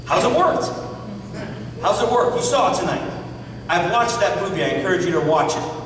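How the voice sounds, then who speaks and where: A man's voice: high voice, lively intonation, moderate pace. A man from Iran, a pastor, giving an emphatic political speech to a live audience and firing rhetorical questions at the crowd. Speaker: pastor and public speaker. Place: large meeting hall. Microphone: distant mic in the room. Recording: echoey hall recorded from far off.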